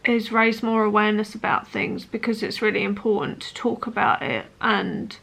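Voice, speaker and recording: A woman in her late twenties talking personally to camera as a vlogger, in an earnest, hesitant, halting way. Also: mid-range voice, animated, gravelly, fairly bright tone. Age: late 20s.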